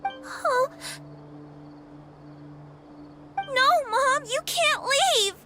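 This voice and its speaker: A woman voicing an excitable, emotional little daughter in a fantasy game, with a squeaky, childlike voice. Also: extremely slow, heavily acted. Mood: distressed. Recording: loud music behind the voice.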